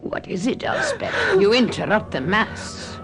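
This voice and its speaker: A fortune-telling witch leading her circle in a radio drama. She is solemn, ominous and commanding, and speaks very slowly. Music sits clearly behind her voice. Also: medium pitch, huge pitch swings. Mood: stern, commanding.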